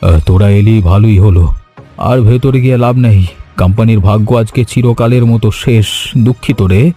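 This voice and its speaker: A man voicing a solemn, apologetic senior office employee, resigned and gloomy, in an animated story. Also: lowish voice, a little fast, faint music behind, lightly acted.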